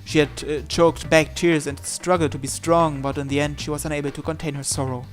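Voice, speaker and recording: A man with a slightly high voice reads a visual novel aloud. His narration is theatrical and suspenseful, with game music playing behind him. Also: level tone, fairly quick. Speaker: visual novel let's player. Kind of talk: dramatic read-aloud narration.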